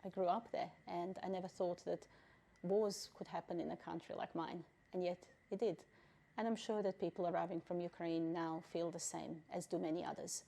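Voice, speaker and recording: A middle-aged woman from Bosnia, a refugee council president, answering interview questions in a measured, thoughtful way with frequent pauses and fillers. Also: on the low side, fairly even intonation, gravelly, dark tone.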